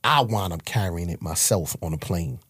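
A man's voice: deep voice, lively intonation, moderate pace, fairly bright tone, breathy edge, dry recording, clean studio sound. A man from Harlem with a New York accent, telling his life story in a casual, profane, streetwise way as an interview guest. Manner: streetwise, profane, acts out quoted voices.

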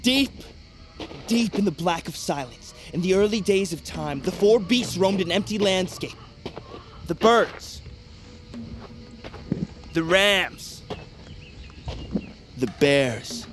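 Slow, high-voiced mythic storyteller. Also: male voice, lively intonation, soft background music. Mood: solemn, ominous. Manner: portentous, incantatory. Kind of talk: dramatic scripted myth narration.

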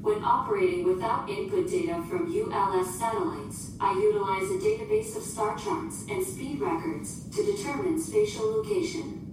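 Echoing high-pitched female ship computer. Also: very animated, moderate pace, few pauses, full character voice. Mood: calm, formal. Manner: formal, precise, literal, emotionless phrasing. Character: female ship computer, literal, formal, unflappable. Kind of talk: science fiction audio drama acting. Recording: very echoey, distant, noisy ambience.

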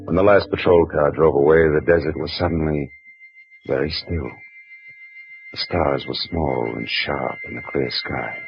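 An actor playing a wry, hard-boiled private eye who narrates dryly in 1940s radio acting style. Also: male voice, a bass voice, moderate pace, vocal fry, soft background music. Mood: wry narration. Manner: dry, wisecracking first-person narration. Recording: dull old radio recording.

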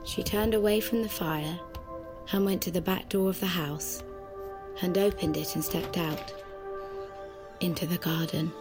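A haunting, ominous female narrator, tells an audio-drama story softly and with suspense. The delivery is very slow and fairly low, with music clearly behind it. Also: steady tone. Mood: hushed suspense. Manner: measured, suspenseful. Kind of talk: slow, hushed dramatic narration in the first person.

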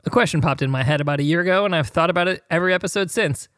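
A man's voice: on the high side, racing, a bit bright, no room sound, clean studio sound. An American man co-hosting a podcast, talking and reading listener questions aloud.

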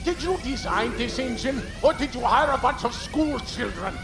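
An actor playing a hot-tempered, scornful mechanic with a gravelly, sarcastic delivery in an audio drama. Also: medium pitch, expressive, moderate pace, over music. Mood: furious, scornful. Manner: sharp, sarcastic, swearing. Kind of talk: naturalistic audio drama acting.

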